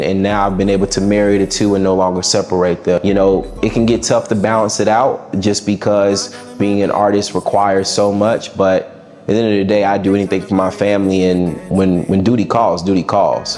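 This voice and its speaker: A young adult man with a raspy voice, a musician guest answering interview questions in a laid-back, reflective way with casual slang. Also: low-pitched, a little mellow.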